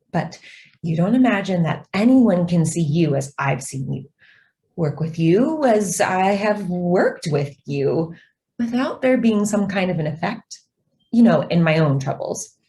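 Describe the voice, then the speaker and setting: Devoted young secretary in a radio play, acted by a woman; soft-edged mellow tone, earnest, idealistic, lingering on key words. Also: fairly low pitch, animated, a little slow. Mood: earnest, confiding. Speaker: actor. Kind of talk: radio drama acting.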